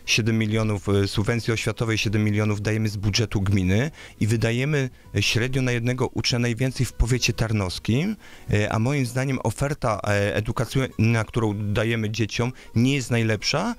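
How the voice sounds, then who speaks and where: A man's voice: lowish voice, steady tone, brisk. A man in his thirties, a local election candidate, answering interview questions in a radio studio with many hesitations and filler sounds. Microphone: studio microphone on a boom arm.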